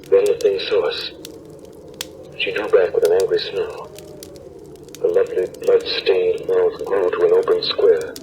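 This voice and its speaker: A man's voice: low voice, flat delivery, a little slow, long pauses, darkish tone. An actor playing an earnest, anguished doctor who narrates a horror tale from his diary in dramatic 1930s radio style, on an old noisy broadcast recording. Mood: hushed dread.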